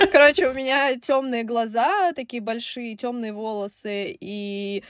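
A woman from Russia co-hosting a podcast, talking casually in quick asides with lots of fillers. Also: a little high, lively intonation, dry recording, studio quality. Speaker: podcast co-host.

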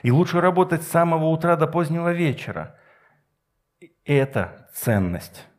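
A man's voice: fairly high pitch, sing-song. Middle-aged man preaching, emphatic. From Soviet Union. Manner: emphatic, with deliberate pauses and rhetorical questions. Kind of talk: Sunday sermon with personal anecdotes.

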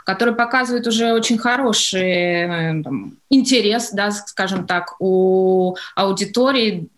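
A woman answering as a guest in a studio radio interview, measured and hesitant, often qualifying her phrasing. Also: mid-range voice.

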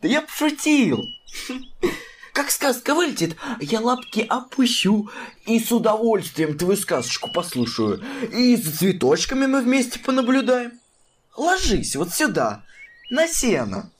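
Woman voicing a lazy, slyly teasing tomcat for a kids' audio story, slow drawl, voice swinging high and low. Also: big pitch swings, slight background noise. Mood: coaxing. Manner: slow, drawling, teasing, with sudden squeaky rises. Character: drowsy, sly male cat lounging under a tree. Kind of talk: children's audio drama.

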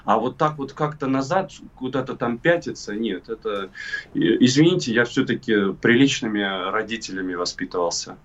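A man from Crimea, middle-aged, giving political commentary as an interview guest in a deliberate, emphatic way with hesitant pauses. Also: medium pitch, lively intonation. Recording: muffled remote call, distant sound.

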